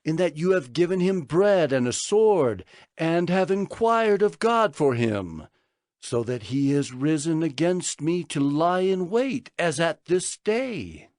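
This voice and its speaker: Casting: angry, accusing ancient king; slow, imperious, high-set voice with big swoops. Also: sing-song, darkish tone, dry recording, clean studio sound. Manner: imperious, drawn-out, declamatory. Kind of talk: dramatized Bible audio acting.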